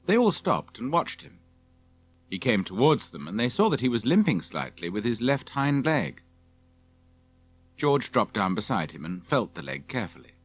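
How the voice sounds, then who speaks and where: A man's voice: medium pitch, big pitch swings, moderate pace, husky. A man reading scripted narration for a children's audiobook.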